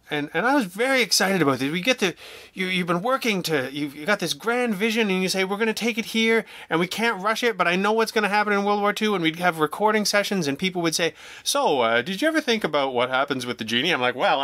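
A man talking casually as an interview guest, telling stories in an animated, jokey, self-deprecating way and acting out remarks he quotes. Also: high voice, fairly quick, hardly any pauses, no room sound. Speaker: guest, audio drama writer and producer.

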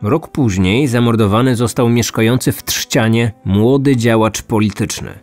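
A man's voice: mid-range voice, moderate pace, a little mellow, soft background music. A man narrating a scripted true-crime story as a podcast host.

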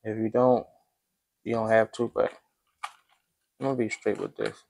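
A young man chatting casually to his vlog viewers while he works in his kitchen at home. He talks in a relaxed, chatty way and keeps calling the audience "y'all". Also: fairly low pitch, normal speed, creaky, a little hiss or hum. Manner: laid-back, chatty, addresses viewers as y'all. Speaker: vlogger.

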